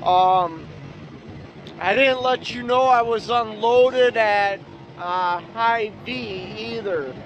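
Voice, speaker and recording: Give me a tired man in his thirties talking slowly from his truck cab over loud road noise. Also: high-pitched, lo-fi sound. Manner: relaxed and rambling, many ums. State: feeling unwell, tired. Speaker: truck driver vlogger. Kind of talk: casual vlog narration. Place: truck cab and outdoor truck lots. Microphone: phone or dash camera. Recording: noisy outdoor truck yard audio. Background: engine and traffic noise.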